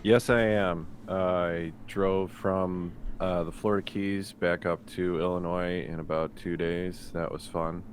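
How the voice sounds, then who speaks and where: A man chatting casually as a player on a tabletop show, with a halting, pausing delivery and faint noise on the mic. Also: low voice, little pitch movement. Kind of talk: casual chat.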